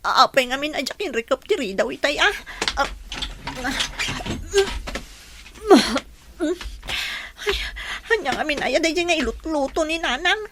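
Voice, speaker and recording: A woman playing a soft-hearted, secretive young daughter in a radio drama, plaintive and apologetic, with an Ilocano accent. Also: high-pitched, expressive, brisk, noisy background. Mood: tearful, queasy. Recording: music bed behind the voice.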